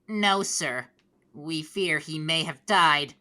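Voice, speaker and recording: A dutiful cartoon royal messenger, voiced by a woman, lowish and sharp in tone. She reports formally and with deference. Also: takes it slowly, heavily acted. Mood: grave.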